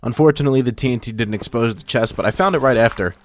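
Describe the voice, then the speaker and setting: A man's voice: medium pitch, racing, slight background noise. A young man with a filtered voice hosting a gaming video, narrating his play in an informal, self-deprecating way. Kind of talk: casual scripted gameplay narration. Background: background music.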